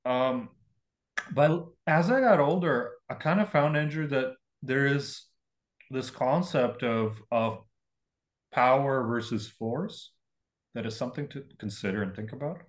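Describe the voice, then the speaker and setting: A man's voice: medium pitch, measured pace. A young man from Russia, a painter, talking as a podcast guest in a rambling, candid, self-mocking way. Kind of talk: casual podcast conversation.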